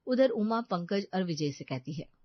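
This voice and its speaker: A woman's voice: lowish voice, lively intonation, normal speed, no echo, dull audio. A woman giving a scripted narration of a story.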